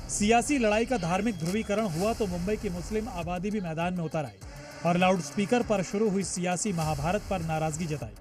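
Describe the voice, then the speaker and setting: A man's voice: high-pitched, a little fast, over music. A man reading a scripted news report as a reporter, in voice-over.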